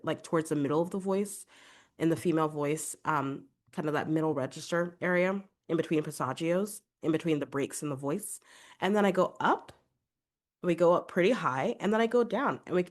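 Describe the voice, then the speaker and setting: A young adult woman, a vocal coach, giving chatty, encouraging podcast advice with a congested voice. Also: deep voice. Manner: chatty, encouraging, fillers like "like" and "right?".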